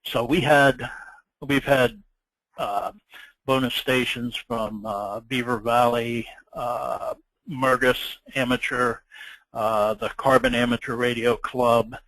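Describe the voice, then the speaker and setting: A man with a mid-range voice gives an informal talk in the role of a club event chairman. His delivery is halting and hesitant, with a modest, dryly humorous manner. The sound is dry, with no room echo. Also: medium pitch, steady tone, a bit bright. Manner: halting and hesitant, often trailing off. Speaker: event chairman giving a presentation. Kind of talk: informal history presentation.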